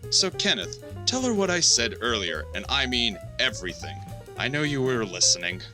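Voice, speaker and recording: A man playing a gruff, blunt chief engineer and former boxer in an audio drama. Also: mid-range voice, very animated, moderate pace, over music, natural voice. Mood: stern.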